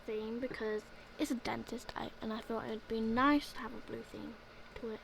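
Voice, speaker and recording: A woman's voice: a little high, fairly even intonation, normal speed, gravelly, a bit bright, slight background noise, poor audio. A young woman narrating her gameplay in a casual voice-over, rambling and filling gaps with "like, yeah". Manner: rambling, filler-heavy, repeats "like, yeah". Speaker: gaming video creator.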